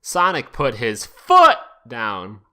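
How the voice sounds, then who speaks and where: Slow, animated man reading comic fiction. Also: mid-range voice, clear, resonant tone. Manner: jokey, deliberate, breaking off for asides. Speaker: podcast host.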